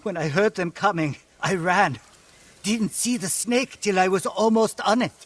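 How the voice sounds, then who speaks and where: An actor playing a frightened, grateful peasant in a video game, anxious and halting. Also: male voice, high voice. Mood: shaken, grateful. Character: frightened male peasant fleeing raiders, hushed and grateful. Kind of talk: video game cutscene acting.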